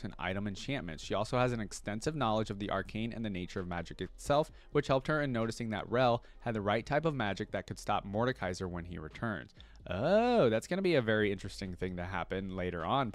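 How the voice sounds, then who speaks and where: Man reading lore with excited asides. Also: lowish voice, no room sound. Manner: reads wiki text then breaks into excited asides. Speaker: reaction channel host. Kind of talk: casual reaction commentary, reading aloud.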